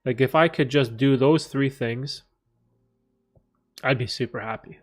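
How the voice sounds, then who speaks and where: A man's voice: medium pitch, unhurried, faint music behind. A man hosting a casual livestream Q&A from a room with a bookcase, rambling with many fillers and restarts. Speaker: livestream host answering viewer questions. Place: room at home with a bookcase behind him.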